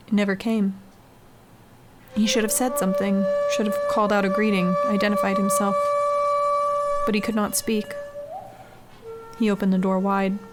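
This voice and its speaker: A woman reading a scary short story as a scripted audiobook-style narration. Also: medium pitch. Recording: clearly noisy background ambience.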